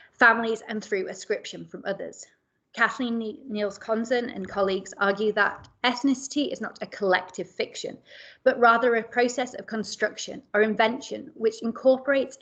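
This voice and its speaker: A woman academic presenting a seminar paper in a measured way, often pausing with fillers and correcting herself mid-word. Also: medium pitch, creaky, fairly bright tone. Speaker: academic speaker.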